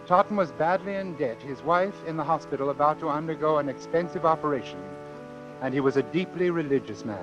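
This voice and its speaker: Guy acting obsessed doctor in an old radio thriller, sure of himself, very precise, voice a bit high. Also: animated, a little fast, lo-fi sound, over music, natural voice. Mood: measured, recounting. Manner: formal, precise, self-assured. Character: coolly confident, obsessive male doctor running a deadly experiment. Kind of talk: old-time radio suspense drama acting and narration. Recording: vintage radio drama recording.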